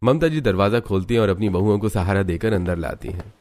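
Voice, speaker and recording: A man's voice: fairly low pitch, expressive, fairly quick, darkish tone, no room sound, studio quality, soft background music. A man reading scripted storybook narration for a cartoon.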